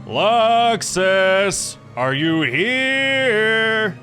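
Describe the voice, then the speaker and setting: A man voicing a big, naive elf warrior in a visual novel game, eager, loud and earnest. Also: medium pitch, very animated, slow pace, soft background music, voice pushed up, heavily acted. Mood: excited calling.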